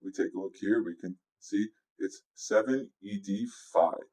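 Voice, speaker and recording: A man talking informally through a music software tutorial as a producer, explaining things step by step over a screen recording. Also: sits low, a little slow. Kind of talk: casual software walkthrough.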